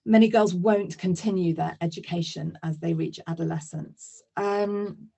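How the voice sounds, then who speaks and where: A woman's voice: fairly low pitch, fairly even intonation, a little slow, vocal fry, dark tone, breathy edge. A woman presenting a webinar lesson, explaining steadily with occasional fillers.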